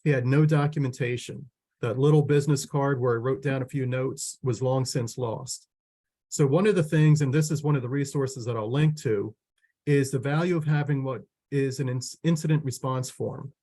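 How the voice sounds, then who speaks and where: A man with a hoarse voice delivers a training webinar, rushing through his material. He restarts his sentences and fills gaps with "uh" and "um." The sound comes through a video call. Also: mid-range voice, mellow. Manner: quick, with frequent restarts and fillers. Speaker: extension educator. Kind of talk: webinar presentation.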